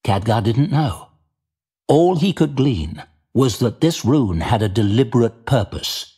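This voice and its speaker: A man giving dramatic, measured scripted narration for an audio drama, with faint music behind. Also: mid-range voice, lively intonation, mellow, husky.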